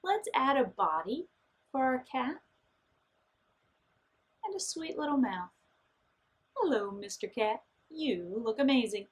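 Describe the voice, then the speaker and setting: A woman leads a children's art lesson one step at a time, in a fairly high voice. Her pitch moves a great deal, and she takes her time between simple, reassuring instructions. Her phrasing is encouraging and aimed at young learners. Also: big pitch swings, takes it slowly, long pauses. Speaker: art teacher.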